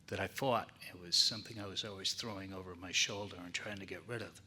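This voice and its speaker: A man from Florida sharing at a seminar, eager and rambling, quick to praise. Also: low-pitched, fairly even intonation, bright, forceful tone, slight background noise. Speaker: seminar participant.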